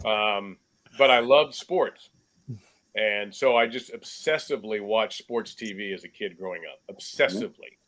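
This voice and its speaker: A man in his sixties, a sports broadcaster, telling stories in a relaxed, measured way as a podcast guest from a cluttered room at home. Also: lowish voice, poor audio. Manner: relaxed anecdotal storyteller, measured. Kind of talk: casual remote interview storytelling.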